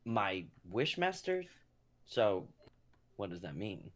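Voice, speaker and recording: A man's voice: lowish voice, sing-song, takes it slowly. A man playing a bewildered, skeptical ordinary guy, hesitant and questioning, in a comedic dramatic reading.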